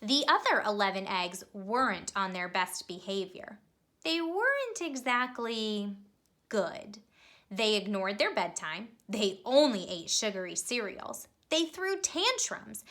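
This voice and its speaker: A woman reading a children's picture book aloud, telling it expressively and pausing to ask young listeners questions. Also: medium pitch, sing-song, sharp tone. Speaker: storybook reader.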